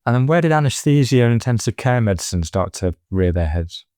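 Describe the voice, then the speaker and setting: A man hosting a medical podcast, introducing his guest and asking brisk, friendly questions. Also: medium pitch, lively intonation, darkish tone, no echo, clean studio sound. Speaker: podcast host. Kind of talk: podcast interview.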